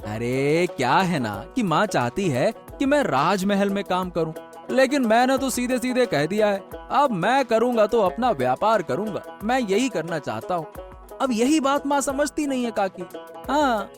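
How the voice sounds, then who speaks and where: A man voices a young cartoon village fishmonger, stubborn yet cheerful. His talk is chatty and folksy, with very animated pitch over soft music. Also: moderate pace. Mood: exasperated. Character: stubborn, cheerful young male fish seller. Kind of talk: animated Hindi moral-story voice acting.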